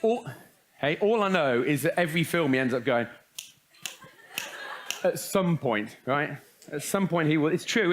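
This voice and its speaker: Animated, wry man giving a youth talk. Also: fairly high pitch. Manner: wry, joking asides with rhetorical questions. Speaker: speaker at a youth conference. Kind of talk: humorous talk to young people.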